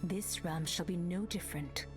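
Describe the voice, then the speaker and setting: A woman's voice: on the low side, normal speed, close to an everyday voice. A woman playing a noble, dignified warrior in a video game, formal and resolute. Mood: solemn, resolute. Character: solemn, noble, dignified warrior spirit-slayer. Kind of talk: video game hero voice lines. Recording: game dialogue over loud music.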